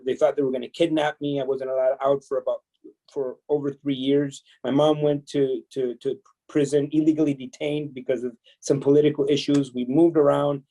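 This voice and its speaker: A man in his fifties, born in El Salvador, telling his life story as a podcast guest in a relaxed way, chuckling and full of fillers. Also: medium pitch, low-quality recording. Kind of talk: storytelling interview.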